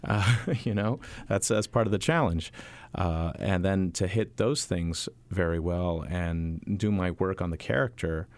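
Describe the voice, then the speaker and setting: A male stage actor answering interview questions, halting, with many fillers and restarts. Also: sits low, no echo.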